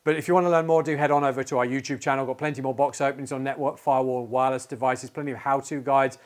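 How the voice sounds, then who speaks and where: A man's voice: mid-range voice, fairly even intonation, very fast. A man in his forties giving a casual, explanatory product walkthrough with hesitant phrasing, recorded in an indoor studio. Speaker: tech channel presenter. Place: indoor studio with plain backdrop.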